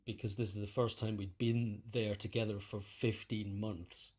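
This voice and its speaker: Man thinking aloud in relaxed conversation. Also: fairly low pitch, normal speed, slightly rough. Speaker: interview guest, composer and conductor. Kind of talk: casual conversational explaining.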